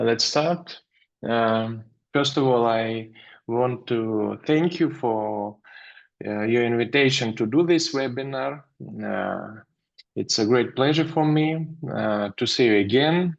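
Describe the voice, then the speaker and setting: A man's voice: medium pitch, slow, creaky. A man with a Russian accent giving a hesitant webinar lecture on dentistry, full of fillers and restarts over a video call. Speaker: dental lecturer presenting a webinar. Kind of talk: halting webinar presentation. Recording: slightly dull video call audio.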